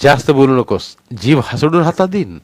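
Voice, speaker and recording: A man voicing a loud, threatening father in a storybook reading. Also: medium pitch, very animated, moderate pace, no echo, clean studio sound, voice pushed up. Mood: furious. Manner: shouting, threatening. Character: angry father, bullying and loud.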